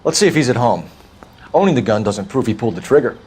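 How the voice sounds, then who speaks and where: An actor playing an eager, diligent young patrol officer in a crime video game, with a clipped, confident, businesslike delivery. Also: male voice, mid-range voice, very animated, fast talker, darkish tone, husky. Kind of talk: naturalistic video game crime drama acting.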